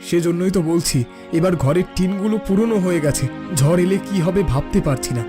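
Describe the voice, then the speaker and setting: A man's voice: high voice, brisk, over music, lightly acted. A man playing a worried younger brother and troubled husband in a cartoon drama, anxious and plaintive. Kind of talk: cartoon family drama acting.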